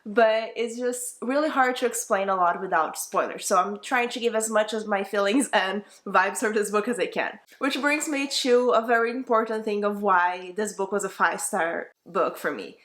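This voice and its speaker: A woman's voice: mid-range voice, moderate pace, hardly any pauses, fairly bright tone. A young woman with a Brazilian Portuguese accent, a native Portuguese speaker, giving a casual, enthusiastic book review that rambles and corrects itself as she goes.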